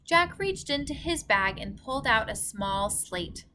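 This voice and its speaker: A woman's voice: fairly high pitch, expressive, measured pace, pushed and bright. A woman reading a storybook aloud like a teacher, enunciating carefully for young children. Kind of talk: storybook read-aloud narration.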